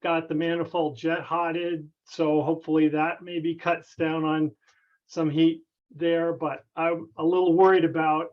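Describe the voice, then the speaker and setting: A man with a slightly high voice talks over a dull, lo-fi conference connection. He explains a technical matter casually, haltingly and tentatively, circling back as he goes. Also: level tone. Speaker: club member asking a technical question. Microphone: conference call connection. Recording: dull, band-limited conference call audio.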